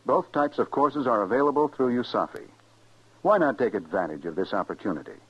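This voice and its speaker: Husky, animated American radio announcer. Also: male voice, medium pitch, a little fast. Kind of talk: scripted old-time radio public service announcement. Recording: muffled old radio recording.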